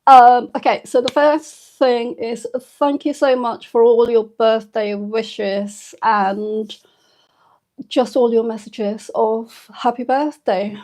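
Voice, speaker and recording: A woman in her fifties hosting a casual live stream. She is emotional and a little tearful, and talks softly and hesitantly, with many pauses and fillers. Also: on the high side, fairly even intonation. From United Kingdom. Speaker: channel host.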